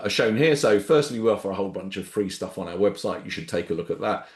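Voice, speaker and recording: A man with a head cold and a nasal voice, hosting a webinar on a video call in a relaxed, rambling way. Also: lowish voice, roomy sound. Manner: relaxed, rambling, frequent ums and restarts. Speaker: webinar host, startup founder. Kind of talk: casual webinar presentation.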